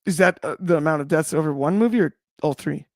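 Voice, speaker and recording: A man's voice: fairly high pitch, fast, no room sound, studio quality. A man co-hosting a podcast, chatting casually about sport in an emphatic, jokey, slangy way. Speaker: podcast co-host. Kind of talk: animated casual sports chat.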